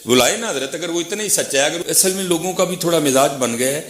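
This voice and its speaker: An echoey recording of a man preaching with a Pakistani Punjabi accent. His voice sits somewhat high, and he delivers a fiery, informal sermon full of scorn and repeated taunts. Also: expressive, fast talker. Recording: echoey, roomy sound.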